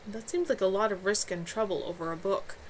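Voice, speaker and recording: A woman's voice: mid-range voice, fairly quick. Woman reading a story over background noise. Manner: amateur, unpolished reading with stumbles. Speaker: author reading own novel. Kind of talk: storybook narration, read aloud live. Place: at home, live stream. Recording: clearly noisy home livestream.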